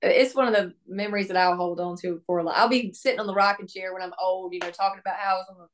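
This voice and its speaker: A young woman, a country singer, chatting upbeat in a casual podcast interview, her voice hoarse from laryngitis and laughing often. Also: mid-range voice, fairly even intonation, rapid-fire, slight background noise.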